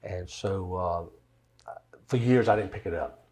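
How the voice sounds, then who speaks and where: An older-sounding man with a deep Southern American accent, telling stories in a folksy, rambling way in a casual interview. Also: measured pace, darkish tone. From Georgia, United States. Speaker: interview guest, songwriter and singer.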